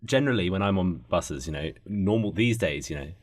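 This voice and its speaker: A man's voice: sits low, lively intonation, a little fast, no echo. A man co-hosting a podcast in casual comic chat, dry and questioning, tending to ramble. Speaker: podcast co-host.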